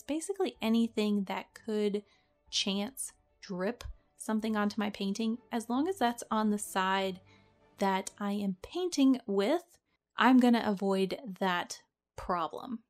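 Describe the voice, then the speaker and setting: A young woman with a slightly nasal, stuffy voice from a cold, giving a friendly, chatty watercolor tutorial as a voice-over. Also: a little high. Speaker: watercolor art teacher. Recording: voice-over with soft background music.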